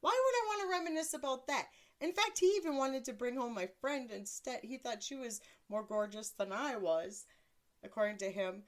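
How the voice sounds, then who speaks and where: A woman in her thirties with a deeper voice, hosting a casual solo podcast in a blunt, crude, self-mocking way and laughing often. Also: lively intonation, normal speed. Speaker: podcast host.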